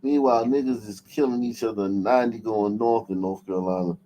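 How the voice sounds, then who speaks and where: A man with a low, mellow voice commenting casually on the news. His talk is blunt, slangy and full of swearing, with noticeable noise behind him. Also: brisk, poor audio. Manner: blunt, profane, street slang. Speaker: show host.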